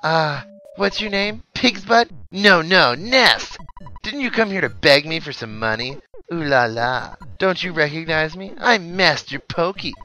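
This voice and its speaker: A man voicing an obnoxious, snobby rich boy from a video game in a pompous, taunting, drawn-out manner. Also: on the high side, animated, bright, forceful tone, soft background music, voice pushed up, poor audio. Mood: smug, taunting. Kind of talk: video game character read-aloud.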